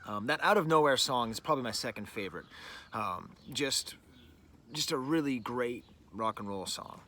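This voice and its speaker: A man's voice: mid-range voice, lively intonation, moderate pace, bright, forceful tone, a little hiss or hum. A middle-aged man hosting a casual album review, talking in a rambling, chatty way full of "like" and "you know", outdoors with birds nearby. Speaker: music reviewer, show host.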